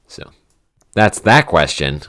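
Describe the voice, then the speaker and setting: A man with a strikingly deep, bass voice chats informally as a podcast writer and co-host. The sound is dry and free of room echo, in the relaxed format of a question-and-answer episode. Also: slow pace. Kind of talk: casual podcast Q&A chat.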